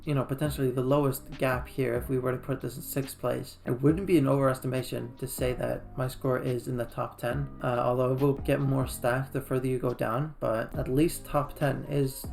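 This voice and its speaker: A man's voice: medium pitch, level tone, moderate pace, gravelly, few pauses, a little mellow. A man from Iceland giving casual game recap commentary in a loose, conversational way. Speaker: gaming video creator. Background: faint background music.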